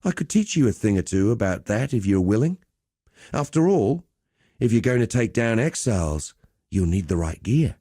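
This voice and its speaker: An actor playing a roguish, cheerful veteran pit fighter in a video game, talking in a colloquial, wry, swaggering storyteller's way. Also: male voice, fairly low pitch, big pitch swings, moderate pace, mellow, dry recording, studio quality. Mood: earnest, admiring. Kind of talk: scripted game dialogue.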